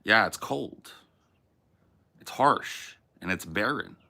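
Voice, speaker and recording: A man's voice: on the low side, lively intonation, takes it slowly, vocal fry, sharp tone. A man hosting a casual livestream, rambling and swearing as he reads chat messages and muses aloud, often restarting his sentences. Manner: rambling, profane, restarts and self-corrections. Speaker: streamer host.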